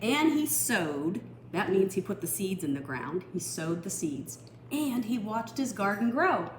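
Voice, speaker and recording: A woman reading a picture book aloud for children, expressive and explanatory, with teacherly asides. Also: mid-range voice. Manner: explanatory, expressive, pausing to define words. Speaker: storytime reader. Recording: echoey room with faint noise.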